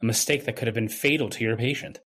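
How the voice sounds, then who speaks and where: A man's voice: medium pitch, fairly even intonation, quick pace, fairly bright tone. An earnest young doctor played by a man in a radio play. His voice is mid-range and subdued, deferential, with guilt underneath. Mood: guilty, deferential. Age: young adult. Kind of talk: old-time radio drama acting.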